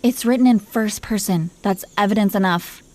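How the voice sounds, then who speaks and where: A woman's voice: mid-range voice, moderate pace, mellow, lightly acted. A woman playing a possessive, teasing dragon queen in an intimate roleplay audio, commanding yet doting toward her husband. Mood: wounded, indignant. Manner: playful, commanding, mock-sweet.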